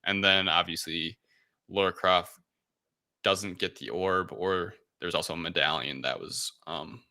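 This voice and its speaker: The deep-voiced guy at the head of a movie podcast, feeling his way through a recap in a sharp, forward tone. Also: fairly even intonation, measured pace. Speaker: podcast host. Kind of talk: casual film review discussion.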